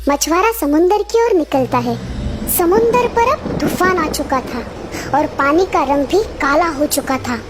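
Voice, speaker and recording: A woman's voice: high-pitched, expressive, measured pace, very noisy. A woman narrating a scripted children's story. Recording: music behind the voice.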